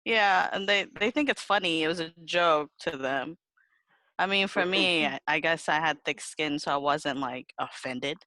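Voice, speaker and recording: A woman's voice: low-pitched, moderate pace, dry recording, low-quality recording. A young woman co-hosting a casual podcast chat, swearing freely and acting out what people said to her as she tells stories. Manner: sweary, animated storytelling with acted-out quotes. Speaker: podcast host. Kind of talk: casual, unfiltered group chat.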